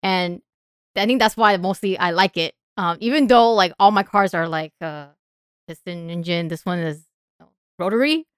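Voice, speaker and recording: Smooth-voiced woman chatting casually. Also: on the low side, moderate pace, dry recording, clean studio sound. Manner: informal, giggly, self-deprecating. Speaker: podcast guest and group member. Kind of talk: casual chat.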